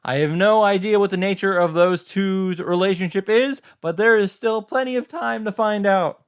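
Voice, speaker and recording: Smooth, clear man giving theatrical commentary. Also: high-pitched, normal speed.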